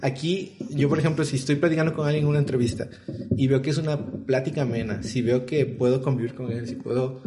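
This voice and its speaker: A man's voice: mid-range voice, fast talker. A man who hires programmers, chatting casually on a panel and explaining with everyday examples. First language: Spanish. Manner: relaxed, explains with examples, many fillers. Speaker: tech interviewer on a panel.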